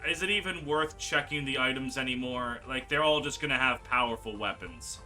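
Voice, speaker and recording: A man hosting a game playthrough, commentating with exclamations and drawn-out words for emphasis. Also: fairly high pitch, pushed and bright. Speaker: gaming video host. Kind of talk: playthrough commentary, reading game dialogue aloud. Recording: music behind the voice. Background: game music.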